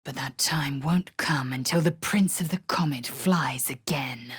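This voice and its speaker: A woman's voice: extremely low pitch, measured pace, slightly rough, no echo, clean studio sound, full character voice. A woman voicing a proud, fierce alien warrior woman in a video game, with an imperious, clipped and contemptuous delivery. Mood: scornful.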